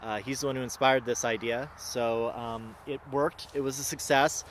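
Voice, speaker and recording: A man's voice: medium pitch, fairly even intonation, moderate pace, a bit bright, slight background noise. A man hosting a casual on-location vlog outdoors, commenting on a hands-on experiment. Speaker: host running a drone antenna experiment.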